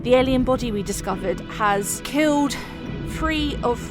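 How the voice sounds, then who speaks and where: Woman playing a high-voiced, firm starship captain. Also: lively intonation, a little slow. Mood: urgent. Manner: earnest, firm. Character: brave, dutiful female spaceship captain. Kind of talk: fan sci-fi audio drama. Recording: loud music over the voice.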